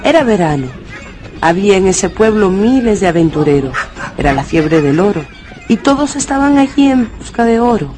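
A man narrating a dramatised radio story with theatrical, deliberate phrasing. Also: sits high, big pitch swings. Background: music.

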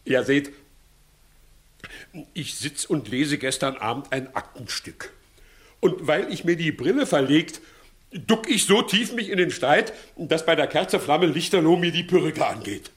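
Village judge, male: evasive, servile blusterer for classical radio comedy, lightly raised pitch, very animated delivery. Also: unhurried. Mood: evasive, theatrical. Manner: servile to superiors, barking at underlings. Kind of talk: 1960s German radio play acting, classical verse comedy.

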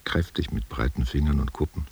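An actor playing a guilt-haunted war veteran confessing to his lover in a halting, self-questioning monologue. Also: extremely low pitch, gravelly, a little mellow, slightly rough, exaggerated voice. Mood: brooding, weary. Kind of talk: literary audio drama monologue.

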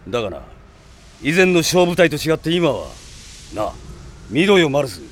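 An actor playing a blunt, gruff male mercenary with a rough, confrontational way of talking in a radio drama. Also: a little high, sing-song, moderate pace, a little hiss or hum. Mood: stern.

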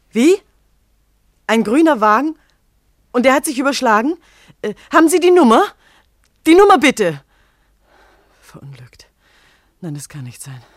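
A woman's voice: fairly high pitch, no echo, clean studio sound. Jealous bitter young woman in a radio play, wound up, swings up and down a lot. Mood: alarmed, then stunned, muttering. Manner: agitated, theatrical, swinging from muttering to sharp. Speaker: radio drama actress. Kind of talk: German radio play acting.

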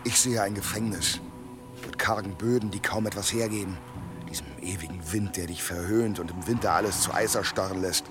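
Actor as a gruff, bitter medieval husband. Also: male voice, lowish voice, close to an everyday voice. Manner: gruff, sardonic. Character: bitter, brooding, hard-drinking medieval husband, male. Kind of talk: German radio drama acting.

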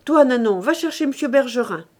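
A woman's voice: sits high, lively intonation, fairly quick. A woman voicing a miserly old father in an audiobook, blustering and coaxing in short exclamations. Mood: coaxing, flustered.

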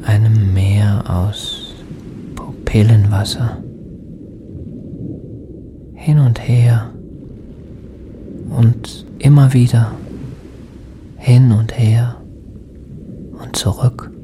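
Haunted man in a radio play, super slow halting hushed poetic monologue, storm and rain behind him. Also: fairly low pitch, fairly even intonation, noisy background. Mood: adrift, troubled. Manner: halting, hushed, dreamlike delivery in short fragments. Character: haunted man recalling a strange stormy night. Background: rain and thunderstorm.

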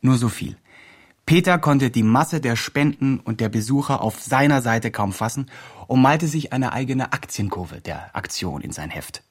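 A young male campaigner in a radio drama. He reports on his project with earnest zeal and self-importance. His voice is a little husky, at an ordinary male pitch. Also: expressive, moderate pace. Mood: earnest, pleased. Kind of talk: scripted campaign diary narration.

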